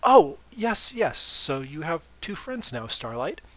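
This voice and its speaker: A man's voice: on the high side, very animated, takes it slowly, no echo. A man voicing an eager, bookish female pony teacher in an awkward, overbright and emphatic way. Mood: overeager.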